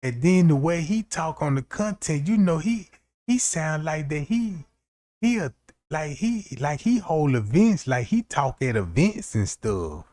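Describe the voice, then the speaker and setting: Stream host, male, unhurried and warm-toned, casual rambling commentary. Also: on the high side, very animated. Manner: loose, slangy and repetitive, often asking "you know what I'm saying". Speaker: livestream host.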